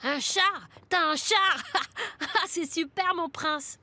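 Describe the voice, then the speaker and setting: Very high excitable boy sidekick. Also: slow, no room sound, full character voice. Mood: excited. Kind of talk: French cartoon voice acting. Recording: music under the voice.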